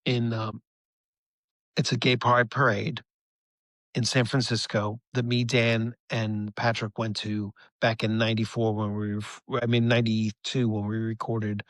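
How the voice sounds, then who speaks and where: A man's voice: on the low side, fairly bright tone, no echo. A middle-aged man chatting casually as a musician guest in an interview, enthusiastic and rambling, often restarting his thoughts mid-story. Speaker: rock musician, podcast guest.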